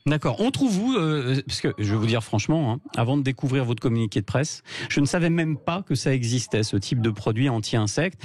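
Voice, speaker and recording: A man co-hosting a radio show, talking in an outspoken, indignant way with quick asides. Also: mid-range voice, darkish tone. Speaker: radio co-host. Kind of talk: lively radio chat. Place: radio studio. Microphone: studio microphone.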